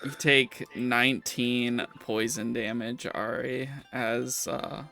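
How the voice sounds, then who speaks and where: A game master chats through a tabletop session in a matter-of-fact, teasing way. The delivery is slow, with a mid-pitched voice. Faint music plays underneath. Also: level tone, gravelly, pushed and bright. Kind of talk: casual game-mastering chat. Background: background music.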